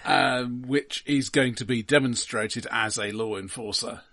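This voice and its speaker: A man co-hosting a casual film discussion podcast, halting as he talks and often stammering over repeated words. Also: medium pitch, vocal fry, pushed and bright. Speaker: podcast co-host.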